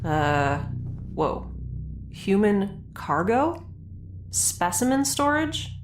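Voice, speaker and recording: A woman's voice: mid-range voice, big pitch swings, extremely slow, a little hiss or hum. A woman playing a chirpy, friendly young scientist adventurer in a sci-fi audio drama, chatty, eager and quirky in delivery. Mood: puzzled. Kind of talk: natural audio drama acting.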